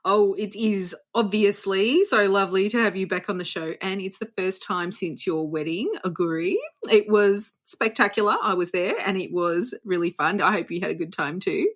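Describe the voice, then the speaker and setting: A woman's voice: mid-range voice, normal speed. Upbeat woman podcast host. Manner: upbeat, sprinkles Italian greetings. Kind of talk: casual interview chat.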